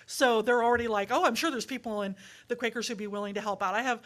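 A woman's voice: fairly high pitch, fast. An older woman leading a church town hall Q&A, chatty and upbeat, recorded from a distance in a sanctuary. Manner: chatty and upbeat, laughs often. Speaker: meeting leader at a congregation.

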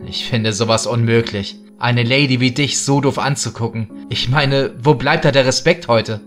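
A man's voice: mid-range voice, moderate pace, fairly bright tone, soft background music, lightly acted. A man voicing a cocky, slangy, tipsy young womanizer in a horror audio story. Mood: indignant. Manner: brash, slangy.

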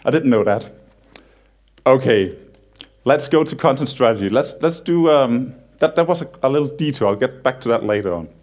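Danish man, 30s, conference speaker on stage in a cinema hall, easygoing and joking, stumbles a bit. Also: medium pitch. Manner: relaxed, self-deprecating humor with frequent halting restarts. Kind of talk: conference talk, casual and joking.

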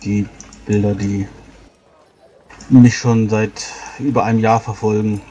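A man playing a troubled male adventurer in a tabletop roleplaying game, speaking haltingly and repeating himself. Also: lowish voice, level tone, warm tone, dull audio, slight background noise, natural voice. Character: troubled, haunted male adventurer confiding his trauma.

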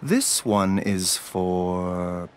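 A man voicing a gentle, courteous male herbal doctor in a video game, speaking in polite, measured, formal phrases. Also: low-pitched, a little hiss or hum. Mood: calm, courteous. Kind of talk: scripted game dialogue. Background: music.

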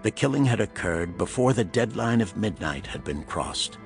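A man narrating a pulp thriller audiobook in a dramatic, husky storytelling voice. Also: on the low side, sing-song, moderate pace, faint music behind.

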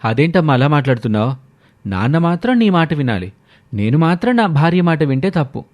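A man's voice: a little high, big pitch swings, fairly quick, darkish tone. A man playing a defiant, sarcastic married son arguing in a family story. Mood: indignant. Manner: argumentative, sarcastic. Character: defiant married adult son, argumentative, defending his wife.

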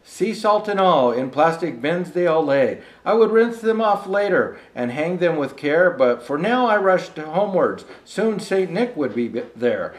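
An older man playing a jolly old Santa Claus, gently reading a rhyming storybook aloud with chatty asides. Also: a little high, sing-song, measured pace, hardly any pauses. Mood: storytelling. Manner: gentle storyteller, showing pictures to listeners. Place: indoor room set with fireplace and tree. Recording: echoey room.

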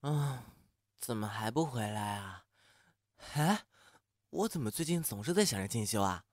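A flustered young man in an audio drama, played by a male actor, his voice swinging widely in pitch on a dry, close recording. He mutters, sighs and questions himself like someone shyly and impulsively in love. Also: lively intonation, moderate pace, no room sound, close to an everyday voice. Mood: anxious, brooding. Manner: muttering, agitated, sighing, self-questioning. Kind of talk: Chinese audio drama acting.

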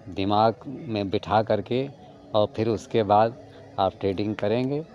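A man's voice: lowish voice, darkish tone. A man narrating a stock market news vlog over slides, going through numbered reasons and calling the viewers friends.

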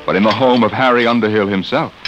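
A man narrating as a 1950s radio drama announcer, with theatrical, deliberate emphasis. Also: on the low side, highs cut off, music in the background.

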